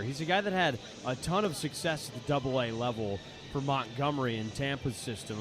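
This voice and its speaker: A man giving conversational, explanatory color commentary during a live baseball broadcast. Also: mid-range voice. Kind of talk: live analytical sports commentary. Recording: clear ambient ballpark noise.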